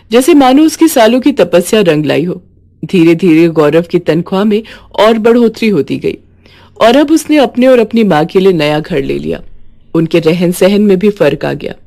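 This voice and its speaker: A woman's voice: lowish voice, dark tone. A woman reading a scripted moral story as a storyteller.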